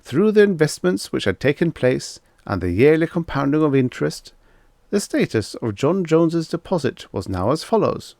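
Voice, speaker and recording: A man voicing a pompous professor of the future in an audiobook story, lecturing didactically with declamatory, deliberate emphasis. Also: a little high, sing-song, gravelly, a little mellow, no echo, clean studio sound, voice pushed up. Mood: pompous, didactic.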